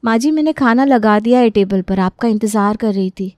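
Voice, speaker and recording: Lady doing a young put-upon indian daughter-in-law in a story, highish voice, meek but resentful, really dry audio. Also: normal speed, darkish tone, no echo, natural voice. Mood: dutiful, explaining. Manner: pleading, complaining. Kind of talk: dramatised Hindi storytelling.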